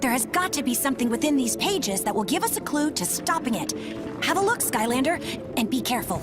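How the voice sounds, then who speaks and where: A woman's voice: high voice, big pitch swings, brisk. An actress voicing a sensible, focused young woman adventurer in a game cartoon, earnest and cautioning. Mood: serious, cautious. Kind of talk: animated video game cutscene acting. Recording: music behind the voice.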